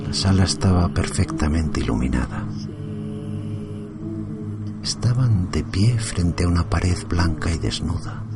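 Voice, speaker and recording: Deep, slow male horror narrator over loud music. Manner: suspenseful, drawn-out phrasing, often hushed and breathy. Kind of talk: dramatic scripted horror narration in the first person.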